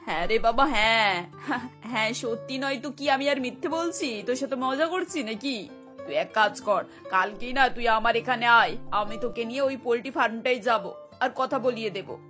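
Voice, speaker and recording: A woman's voice: on the high side, lively intonation, moderate pace, fairly bright tone, soft background music. A woman voicing a caring middle-aged aunt in a Bengali cartoon, breezy, reassuring and persuasive. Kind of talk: cartoon voice acting.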